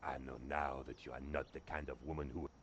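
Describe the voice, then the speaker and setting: A man playing an excitable, pompous Frenchman with a French accent and theatrical delivery in an adventure game. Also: extremely low pitch, a little fast, husky, heavily acted. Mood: grandiose. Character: two excitable Frenchmen: café waiter and bumbling police sergeant.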